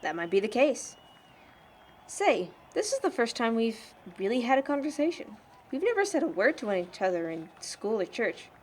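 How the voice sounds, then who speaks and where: Woman acting blunt teenage girl, highish voice, background noise. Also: sing-song, moderate pace. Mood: chatty, exasperated. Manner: frank, wry, slangy. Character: blunt, sardonic teenage churchgoing girl, unbothered beer drinker. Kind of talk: amateur audio drama acting.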